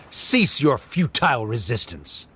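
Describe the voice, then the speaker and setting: A man's voice: mid-range voice, huge pitch swings, slow pace, noisy background. A man voicing a haughty, stern, scornful academic in a video game, speaking formally and pompously. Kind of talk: scripted game voice acting.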